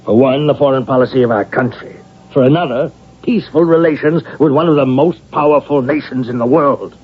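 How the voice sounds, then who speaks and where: An actor playing a pragmatic, blustering university president, halting and exasperated, in a radio drama. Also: male voice, medium pitch, husky, close to an everyday voice. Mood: wheedling pressure. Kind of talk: vintage-style radio drama acting. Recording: dull, noisy old radio broadcast recording.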